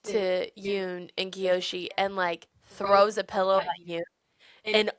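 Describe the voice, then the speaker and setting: A woman co-hosting a podcast in casual book chat, retelling scenes in an animated way and swearing freely. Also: medium pitch, takes it slowly, dry recording. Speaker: podcast co-host.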